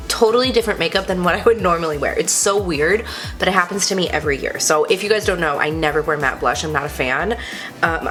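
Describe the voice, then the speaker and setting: A woman's voice: on the low side, a bit bright. A young woman, congested from a cold, chatting casually and gushing about makeup with lots of "like" fillers. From Texas. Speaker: beauty vlogger. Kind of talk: casual chatty product review.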